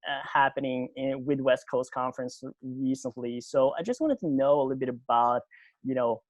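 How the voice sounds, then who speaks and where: A man hosting a podcast interview over a video call, speaking English as a second language, halting, with many fillers and restarts. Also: mid-range voice, dry recording. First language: not English. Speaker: podcast host.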